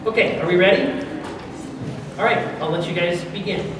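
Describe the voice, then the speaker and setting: A man's voice: high voice, normal speed, distant mic. A man informally directing and presenting to a live audience at a convention, in an echoey, noisy hall. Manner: informal, instructing. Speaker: audio drama producer directing and presenting.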